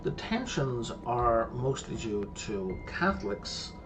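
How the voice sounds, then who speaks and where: A man's voice: medium pitch, sing-song, slow pace. Guy muttering thru a mystery game, voice jumps up and down a lot, music playing behind. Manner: thinks aloud, musing and explaining history. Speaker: gameplay streamer. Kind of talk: casual let's-play commentary.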